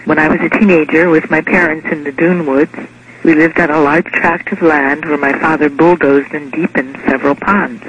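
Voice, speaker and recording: A man reads a prepared personal story. His voice sits fairly high. The recording is dull, with clear noise over it. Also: steady tone, normal speed, fairly bright tone. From Indiana, United States. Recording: muffled, noisy radio-like recording.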